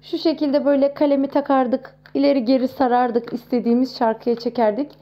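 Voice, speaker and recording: High-voiced chatty woman vlogger. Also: brisk, highs cut off. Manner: chatty and expressive, with frequent exclamations. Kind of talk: casual nostalgic show-and-tell to camera.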